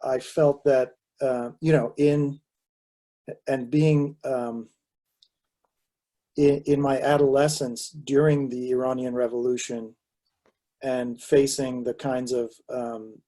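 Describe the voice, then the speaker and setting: A man's voice: mid-range voice, level tone, slow pace, pauses often, mellow. A man, a panelist giving a reflective, hesitant answer full of fillers and restarts over a video call. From Southern California. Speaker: panelist and author.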